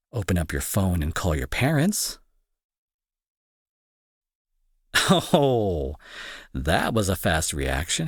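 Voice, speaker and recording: A husky young guy acted out by a man plays the gruff bully who cares more than he admits. His pitch swings widely as he scolds the listener with exasperated sarcasm, in a close, dry audio roleplay. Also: no room sound, full character voice. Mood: teasing, needling. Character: gruff young male bully, secretly worried and protective. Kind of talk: intimate audio roleplay acting, addressed to listener.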